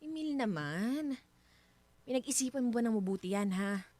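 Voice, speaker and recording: A wary wife in a Filipino radio drama, pleads earnestly and insistently. Her voice sits on the high side and swings widely in pitch as she presses her point. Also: expressive, moderate pace, a little mellow, no room sound. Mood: worried, wary. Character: wary, nagging but polite wife.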